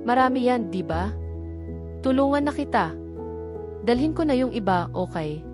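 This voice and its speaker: A woman's voice: a little high, expressive, moderate pace, over music, pitched above the performer's own voice, natural voice. A woman with a Filipino accent voicing an agreeable, affectionate young girlfriend in a romance audiobook. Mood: affectionate, willing.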